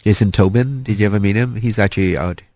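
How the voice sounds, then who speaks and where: A man hosting an interview, asking halting questions and often backing the guest with "yeah". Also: fairly low pitch, darkish tone, dry recording. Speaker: interview host. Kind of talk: casual interview conversation.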